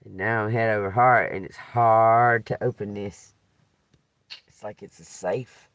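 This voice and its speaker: A man hosting a live stream, chatting loudly and laughing often while telling profane stories. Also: on the low side, fairly even intonation, a little slow, dry recording. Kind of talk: rambling casual live stream chat.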